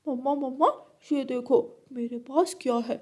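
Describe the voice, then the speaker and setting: Lady doing a naughty little crow boy for a cartoon, high, cocky, kinda whiny. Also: steady tone, normal speed, a little mellow. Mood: sneaky, pleased. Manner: childlike. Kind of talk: children's cartoon character voice.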